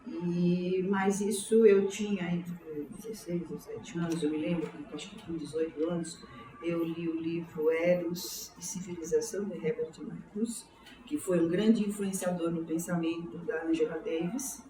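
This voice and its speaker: A woman in her sixties with a São Paulo Brazilian accent, recalling her life in an interview in a halting, reflective way, often repeating words and checking for agreement. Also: fairly low pitch, fairly even intonation, over music. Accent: São Paulo Brazilian Portuguese. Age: 60s. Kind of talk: oral history interview, autobiographical recollection. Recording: roomy, distant mic, faint background noise.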